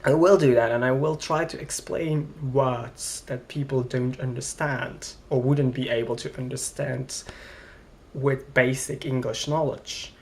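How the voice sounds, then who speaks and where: A man's voice: medium pitch, steady tone, unhurried, creaky, darkish tone, slight background noise. A young man from Flanders, rambling and hesitant as he complains in a casual vlog. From Belgium, Flanders. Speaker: vlogger.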